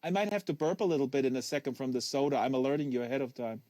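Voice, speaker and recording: A man's voice: mid-range voice, rapid-fire, mellow, no echo, natural voice. A man reads as a precocious young boy in a literary audiobook. He is wary and literal, stumbling over some words and exact to the point of pedantry. The sound is close and dry. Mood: earnest, inquiring. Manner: hesitant, stammering, pedantically exact. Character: precocious, wary, literal-minded ten-year-old tennis prodigy boy.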